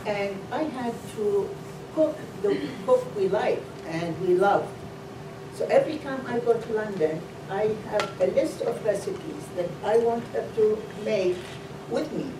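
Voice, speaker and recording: A middle-aged woman from Iraq telling her family cooking story on a panel, slowly and with many fillers. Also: mid-range voice, animated, background noise. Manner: slow, halting, many fillers. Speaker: food truck co-owner, guest. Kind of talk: panel talk, personal story. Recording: roomy, distant mic, loud ambience.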